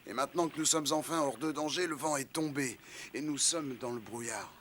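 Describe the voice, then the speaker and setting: A man's voice: medium pitch, fairly quick, fairly bright tone, a little hiss or hum, close to an everyday voice. A man voicing a fearful, weary sailor in a French cartoon dub, speaking with uneasy drama.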